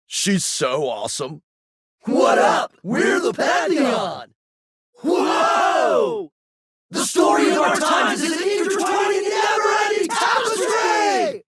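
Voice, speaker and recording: A rowdy daredevil game character, shouting in a high, rough voice. The delivery is bombastic and drawn out, with other voices crowding in. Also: female voice, big pitch swings, slow, a faint crowd, exaggerated voice. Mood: rowdy hype.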